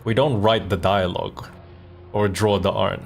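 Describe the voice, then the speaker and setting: Male streamer, low register, informal and off the cuff, music playing behind him. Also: fairly even intonation, normal speed, vocal fry. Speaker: streamer and comic dub voice actor. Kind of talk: casual livestream chat.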